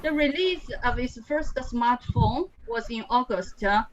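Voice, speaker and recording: A woman's voice: fairly high pitch, lively intonation, measured pace, dull audio, slight background noise. A woman from China giving a class group presentation over a video call, reading prepared notes with frequent fillers. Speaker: student presenter.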